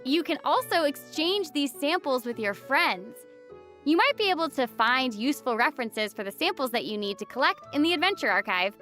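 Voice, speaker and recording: A woman with a high, bright, forceful voice presents game news from a script. She is playful and enthusiastic, swooping widely in pitch, with light music underneath. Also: animated, a little fast. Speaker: presenter of a video game update program. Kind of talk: upbeat scripted co-hosted presentation. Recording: music behind the voice. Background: background music.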